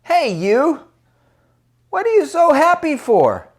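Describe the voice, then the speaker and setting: Storybook old lady played by a man: sour, whining complainer, animated pitch. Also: normal speed, close to an everyday voice. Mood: envious, curious. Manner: complaining, moaning. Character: whining, miserable older women complaining at the beach.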